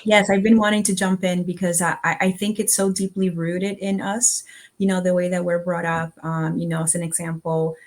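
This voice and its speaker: A woman from the Dominican Republic speaks with her accent in a lower, steady register that barely rises or falls. She talks earnestly on a panel, leaning on filler phrases as she gathers her thoughts. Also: moderate pace. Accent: Dominican Spanish. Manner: earnest, personal, many filler "you know"s. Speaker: panelist, diversity executive. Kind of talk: panel discussion.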